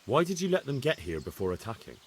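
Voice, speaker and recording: A man's voice: mid-range voice, sing-song, quick pace, a little mellow, slight background noise, lightly acted. An actor playing a young male squire in a video game, asking plain, direct questions. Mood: questioning. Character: young male hero Henry, dutiful squire. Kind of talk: video game cutscene acting.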